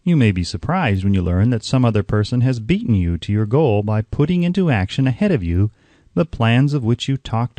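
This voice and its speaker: A man reading an audiobook as a narrator, in a measured, instructive way with deliberate emphasis. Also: mid-range voice, mellow, dry recording.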